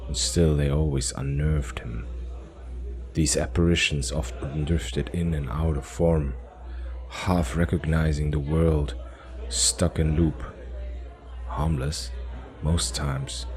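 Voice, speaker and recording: A man with an extremely deep voice narrates a dark sci-fi fantasy saga. His delivery is solemn and dramatic, unfolding slowly in short measured phrases over soft music. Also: fairly even intonation. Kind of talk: cinematic scripted fantasy audio drama narration.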